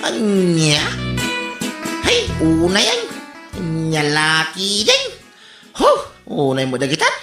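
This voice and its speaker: Animated, exasperated Ilocano office worker. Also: male voice, a little high, slow, pauses often, reverberant, faint music behind, full character voice. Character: harried, exasperated office worker pestered by nosy neighbours. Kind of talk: comedy radio drama acting.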